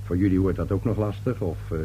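A fairly low man's voice , an actor's, as a middle-aged father in a radio drama. He is irritable and used to being master of his house. Faint noise and hum run through the old recording. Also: fairly even intonation, quick pace, mellow, natural voice. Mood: uneasy, chatty. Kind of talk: radio play acting.

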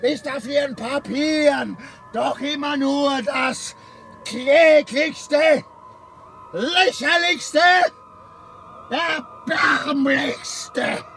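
An actor playing an obsessive, tormented scholar in a radio play monologue, speaking emphatically and haltingly, repeating himself. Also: male voice, very high-pitched, bright, forceful tone, a little hiss or hum, exaggerated voice. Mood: agitated, ranting. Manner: emphatic, repetitive, halting delivery with drawn-out words. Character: obsessive, tormented scholar consumed by his life's study.

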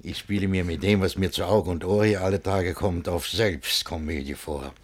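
An actor playing a pompous, scheming middle-aged gentleman in rhymed verse for a radio comedy. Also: sits low, fairly even intonation, moderate pace, breathy edge. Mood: jovial, sly. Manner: theatrical rhymed verse delivery.

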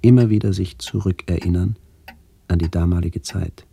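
A man acting in a radio play as a brooding narrator haunted by memories of his lost village, speaking in reflective, halting phrases. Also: sits low, steady tone, gravelly, dark tone, a little hiss or hum.

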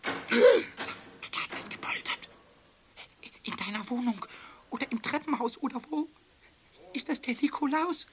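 A man voicing a cheeky, excitable little kobold in a children's radio play, squeaky and childlike, stammering out repetitions and rhymes. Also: moderate pace, long pauses. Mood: frightened. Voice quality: squeaky, creaky.

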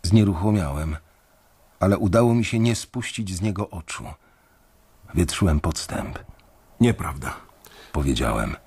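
Husky young bank analyst narrating. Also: male voice, sits low, expressive, unhurried, long pauses, a little mellow, heavily acted. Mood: defiant. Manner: restrained first-person narration. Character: young male bank analyst, wary and tense. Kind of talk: radio drama narration.

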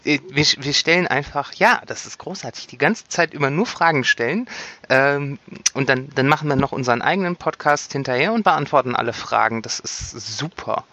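Man with a very bright, forceful voice. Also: mid-range voice, animated, fairly quick, no echo. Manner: playful, ironic. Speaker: podcast co-host. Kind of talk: casual banter, quipping replies.

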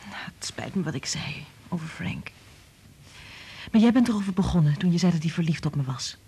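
Husky, troubled wife in a radio play. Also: female voice, lowish voice, expressive, a little fast, mellow, full character voice. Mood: defensive, tense. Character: troubled married woman, evasive and defensive. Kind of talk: Dutch radio drama acting.

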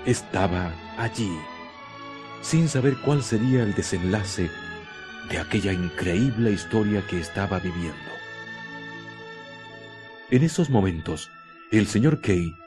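A man delivers scripted dramatic narration for a radio play. His voice is soft-edged and dark, fairly deep, with suspenseful phrasing and deliberate pauses. Music plays behind him.

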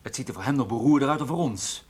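An actor playing a weary, urgent, wry private detective and narrator in a radio play. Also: male voice, mid-range voice, fast, darkish tone, breathy edge, close to an everyday voice. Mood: urgent pleading. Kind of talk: radio play acting with first-person narration.

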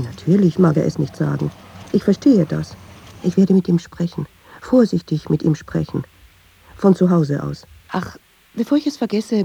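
An actress playing a brooding, persistent woman in a radio drama, speaking in an introspective, deliberate way. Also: fairly low pitch, vocal fry, mellow. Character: brooding, persistent, troubled woman who argues with herself. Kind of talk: radio drama monologue and dialogue. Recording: dull, hissy radio drama recording.

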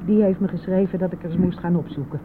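A woman's voice: on the low side, steady tone, fast, lightly acted. An older woman playing a curt, stubborn village mother-in-law in a radio play, answering tersely and defensively. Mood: reticent, stubborn. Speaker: radio drama actor. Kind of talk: Dutch radio play acting. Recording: tinny narrow-band old radio recording, vehicle noise.

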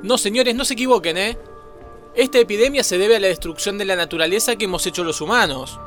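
High-pitched, scolding explainer. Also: male voice, fast, a bit bright, soft background music. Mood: insistent, scolding. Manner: emphatic, lecturing. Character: insistent, scolding male environmental explainer. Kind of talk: radio drama acting.

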